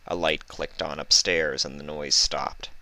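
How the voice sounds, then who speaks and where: A man's voice: rumbling low, little pitch movement, a little slow, sharp tone. A man reading a story aloud as scripted narration. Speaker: fan fiction reader.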